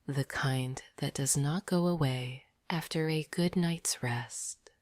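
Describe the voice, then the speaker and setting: A woman narrating a scripted sleep meditation in a soft, breathy near-whisper, gentle and deliberate. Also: deep voice, dry recording, clean studio sound.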